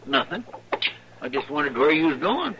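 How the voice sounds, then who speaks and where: An actor playing a folksy, loyal, chatty frontier deputy with a rural American drawl in an old-time radio western. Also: male voice, a little high, sing-song, moderate pace, dull audio. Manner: folksy, plain-spoken, drawling. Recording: old radio recording, noisy, crowd behind.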